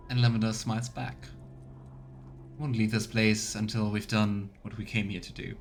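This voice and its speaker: A man's voice: lowish voice, flat delivery, normal speed, slight background noise. A man streaming a game with casual commentary, musing aloud and reacting as he plays. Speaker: streamer playing through a game. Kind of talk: casual let's play commentary. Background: faint game music.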